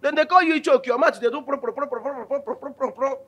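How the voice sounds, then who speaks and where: An actor with a Nigerian accent playing a hot-tempered, meddling household servant in a comedy film, scolding and repeating himself. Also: male voice, extremely high pitch, fast, a bit bright. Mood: threatening. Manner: scolding, repetitive, blustering.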